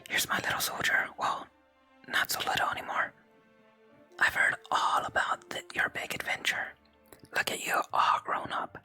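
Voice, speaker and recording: An ASMR streamer voicing a fond, proud, gently worried village mother from a video game in a breathy whisper. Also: normal speed. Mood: fond, amazed. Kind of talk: whispered game dialogue reading. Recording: close whisper with game music behind.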